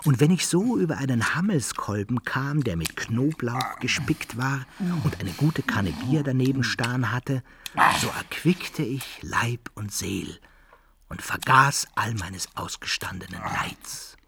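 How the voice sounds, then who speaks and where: An actor playing a boastful adventurer who tells his own exploits with relish, in a husky voice, as radio drama narration. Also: medium pitch, unhurried, background noise. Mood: savouring. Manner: savouring, expressive.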